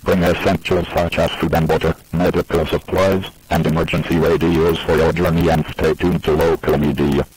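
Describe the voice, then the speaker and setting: A man's voice: extremely low pitch, little pitch movement, normal speed, hardly any pauses, a little mellow, a little hiss or hum, low-quality recording. A man reading a scripted emergency alert in an impersonal, formulaic way.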